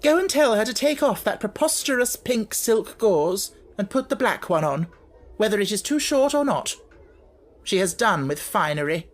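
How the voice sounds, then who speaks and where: A man's voice: high voice, animated, normal speed, voice pushed up. A man voicing a harsh, imperious, indignant middle-aged headmistress in an audiobook story. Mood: fierce, bitter.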